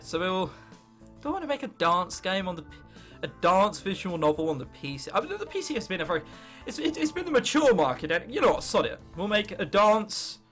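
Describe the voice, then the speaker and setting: A man's voice: high-pitched, a bit bright. A 19-year-old man with an English accent giving casual gameplay commentary, joking sarcastically and muttering irreverently at the game. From England. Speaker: gaming video host.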